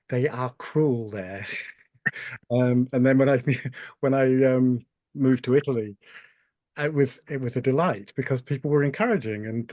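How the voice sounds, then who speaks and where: Guy chiming in on a video panel, mid pitch, lots of repeats, dry sound. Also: darkish tone, no echo. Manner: hesitant, repeats words, thoughtful. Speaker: panel participant. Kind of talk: online panel discussion. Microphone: video call.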